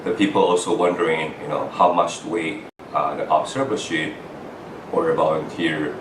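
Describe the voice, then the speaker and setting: A man's voice: a bass voice, flat delivery, echoey room, far from the mic, background noise. A middle-aged man from Taiwan, a foreign-trained doctor, giving an earnest account of his path to residency, with many "uh" fillers and restarts. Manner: halting, frequent "uh" fillers and restarts. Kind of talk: earnest personal account to camera.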